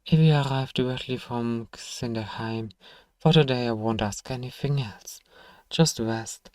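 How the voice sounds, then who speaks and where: A man playing a gruff, sardonic but grudgingly kind apothecary shopkeeper in an ASMR fantasy roleplay, dryly teasing and sighing as he talks to one listener. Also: mid-range voice, unhurried, darkish tone, no room sound, lightly acted. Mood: teasing, softening.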